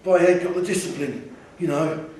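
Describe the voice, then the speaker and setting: A man's voice: a little high, fairly quick, a little mellow, pitched above the performer's own voice, close to an everyday voice. Wheezy old smoker played by a male reader, grumbling, penitent, storybook character, distant in a reverberant theatre. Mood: penitent, pleading. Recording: echoey theatre hall, distant mic.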